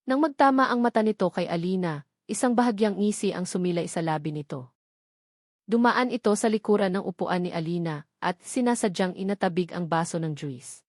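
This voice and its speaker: A woman's voice: fairly low pitch, fairly quick, darkish tone, dry recording. Filipino woman narrating dramatically, very dry sound. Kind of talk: scripted dramatic romance story narration.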